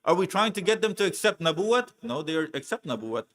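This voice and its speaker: A man delivers a religious lecture in a voice pitched a little higher than most. He speaks as a preacher, deliberate and emphatic, repeating key phrases and posing rhetorical questions to drive his points home. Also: very animated, fairly bright tone. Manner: emphatic, deliberate, repetitive oratory.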